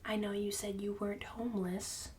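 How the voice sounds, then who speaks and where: A woman's voice: medium pitch, flat delivery, moderate pace, far from the mic, slight background noise, natural voice. Whispering, snobbish book-club mom played by a woman. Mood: judgmental, smug. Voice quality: breathy whisper and soft speech. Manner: passive-aggressive, bossy, fussy, addressing people one by one. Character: snobbish, condescending middle-class mom running a book club. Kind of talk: ASMR roleplay, mostly whispered.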